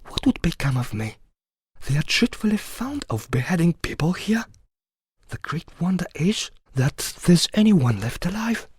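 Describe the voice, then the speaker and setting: A man's voice: a little high, big pitch swings, moderate pace, a little mellow, breathy edge, no room sound, clean studio sound, voice pushed up, full character voice. A man voicing a polite, anxious young girl in a storybook, with a prim, plaintive manner. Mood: anxious wondering.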